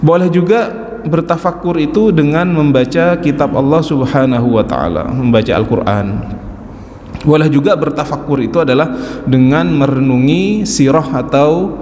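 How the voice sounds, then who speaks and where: A man in his forties giving a calm, explaining religious lecture at a mosque study circle, often adding an affirming "ya". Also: fairly high pitch, moderate pace, a little hiss or hum. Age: 40s. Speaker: religious teacher. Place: large mosque hall. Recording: echoey mosque hall with faint ambience.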